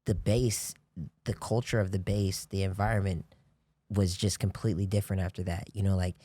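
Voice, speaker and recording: A man with a lowish, mellow voice tells stories in a loose, rambling way, often restarting and filling with "you know, like." It's relaxed podcast talk, recorded dry and close. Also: normal speed, no room sound. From grew up on US military bases in Japan. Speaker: podcast guest. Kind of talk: casual chat.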